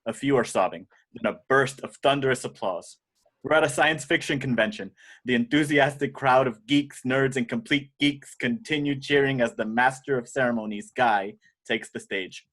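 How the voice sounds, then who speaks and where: A man's voice: mid-range voice, moderate pace, a bit bright. A man reading the action descriptions of a screenplay as scripted narration in an amateur table read. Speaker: reader of stage directions.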